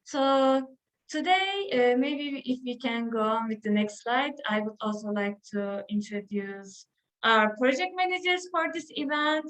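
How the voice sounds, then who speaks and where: A woman's voice: on the high side, measured pace, fairly bright tone, low-quality recording. A young woman with a Turkish accent, a student intern hosting an online panel, talking with frequent hesitations. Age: young adult. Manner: hesitant, many filler sounds. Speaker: event host, HR intern.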